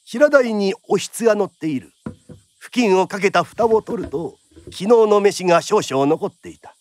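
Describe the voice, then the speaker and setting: A man's voice: high-pitched, animated, normal speed, a little mellow, a little hiss or hum. A man narrating a period radio drama like a theatrical storyteller.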